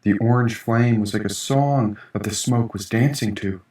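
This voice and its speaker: A man's voice: fairly low pitch, normal speed, a little mellow. Echoey, distant male audiobook narrator. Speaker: author reading own audiobook. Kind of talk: first-person fiction narration. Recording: echoey room, distant mic.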